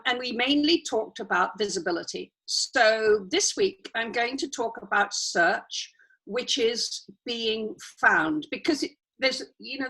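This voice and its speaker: A woman guest trainer with a croaky voice, explaining in a chatty way with asides and restarts over a video call. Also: fairly high pitch, pushed and bright, poor audio. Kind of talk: casual live training.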